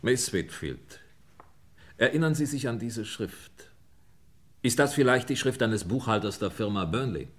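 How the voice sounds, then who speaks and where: A persistent police inspector from a radio crime play, acted by a man. He has a mid-pitched male voice and questions briskly, in a businesslike tone that keeps pressing. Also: animated, natural voice. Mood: insistent.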